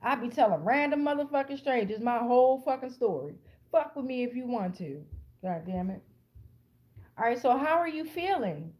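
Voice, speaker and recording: High-pitched, blunt American woman reading tarot. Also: expressive, moderate pace, a little mellow. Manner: profanity-laced, streetwise, blunt. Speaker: tarot card reader, channel host. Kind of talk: casual, improvised tarot reading to an online audience.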